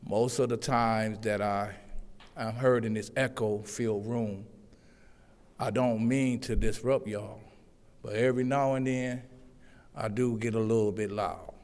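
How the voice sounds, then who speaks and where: Loud, earnest man, public commenter pleading with the council; smooth, resonant voice in a reverberant chamber. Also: on the low side, fairly even intonation, a little slow. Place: echoey city council chambers. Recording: long hall reverb.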